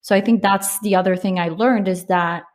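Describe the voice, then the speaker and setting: A woman's voice: medium pitch, steady tone, moderate pace, darkish tone. A woman from Poland, a startup founder, talking reflectively in a casual interview with frequent restarts and fillers.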